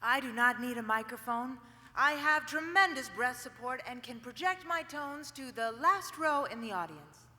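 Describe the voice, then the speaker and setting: A woman's voice: high voice, expressive, moderate pace, bright, forceful tone, heavily acted. A woman playing a grandiose, theatrical diva actress in a staged musical reading. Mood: haughty. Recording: echoey hall, music over voice.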